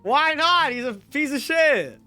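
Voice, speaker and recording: A man's voice: very high-pitched, lively intonation, a little slow, pushed and bright, soft background music. A man doing casual live game commentary as a streamer, blunt and slangy.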